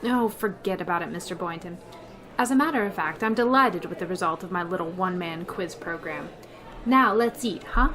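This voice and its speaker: A woman's voice: on the low side, normal speed, bright, forceful tone. A woman playing a long-suffering schoolteacher in an old-time radio comedy, with dry, sarcastic delivery. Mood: exasperated, dry.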